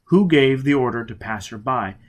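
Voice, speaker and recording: A man's voice: mid-range voice, expressive, moderate pace, natural voice. A man reading a story and voicing a brutal, driven spaceman who barks clipped, demanding lines. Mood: demanding, hard. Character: brutal, driven male spaceman interrogating with growling menace.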